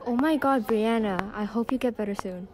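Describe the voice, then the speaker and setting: Tough, blunt female zombie survivor played by a young teen girl, high voice, amateur audio drama. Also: moderate pace, close to an everyday voice. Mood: earnest. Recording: amateur recording with faint noise.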